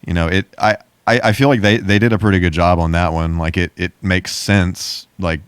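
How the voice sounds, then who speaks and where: Deep, smooth-voiced man chatting casually. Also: fairly even intonation, normal speed, dry recording. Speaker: podcast co-host. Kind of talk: casual, informal movie chat.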